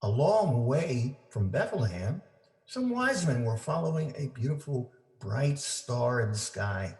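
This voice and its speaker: A man reading a children's story aloud for families, with deliberate, simple phrasing for young listeners. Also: medium pitch, big pitch swings, darkish tone. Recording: roomy, echoing indoor sound.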